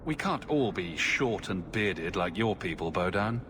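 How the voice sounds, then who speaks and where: An actor playing an easygoing adventurer hero in a video game, with a wry, understated delivery. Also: male voice, low voice, expressive, unhurried, background noise. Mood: relaxed, candid. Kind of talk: video game cutscene acting.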